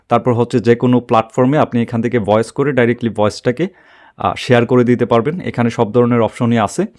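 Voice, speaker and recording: A man giving an unscripted, step-by-step app tutorial in a halting, repetitive way. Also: medium pitch, darkish tone. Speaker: tech tutorial host.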